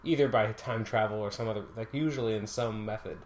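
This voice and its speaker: A man chatting casually about a film, quick and conversational. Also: on the low side. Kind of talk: casual chat. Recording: echoey room with faint noise.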